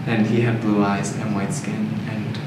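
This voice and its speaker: A Canadian man speaking in a measured, deliberate way as he shares his views in a group discussion. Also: lowish voice, flat delivery. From Toronto, Canada. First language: English. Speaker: discussion participant. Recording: echoey noisy room, distant mic.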